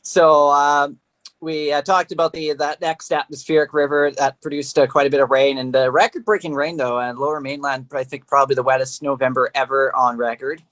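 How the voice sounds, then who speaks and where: A man with a mid-pitched voice discusses the weather informally. His sentences ramble on, loosely linked, as a casual commentator's do. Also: steady tone, brisk, a bit bright.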